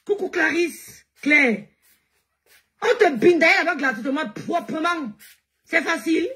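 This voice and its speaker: A Cameroonian woman hosting a live stream, mocking and laughing as she rants and often shouts. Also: very high-pitched, expressive, a little slow. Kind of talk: heated live-stream rant.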